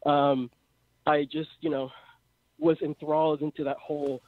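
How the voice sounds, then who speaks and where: A man's voice: fairly high pitch, level tone, measured pace. A man in his twenties with a Mexican accent, calling in to a live show and rambling through his idea with many fillers. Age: 20s. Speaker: caller. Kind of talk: phone-in call to a live show. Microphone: phone. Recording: tinny phone line.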